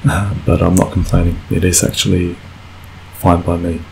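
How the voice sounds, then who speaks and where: Male gamer, sick and croaky, very deep voice, rambling let's play talk, slight background hiss. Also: level tone, moderate pace. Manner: rambling, self-correcting, frequent fillers. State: croaky voice from a cold. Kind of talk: casual gameplay commentary.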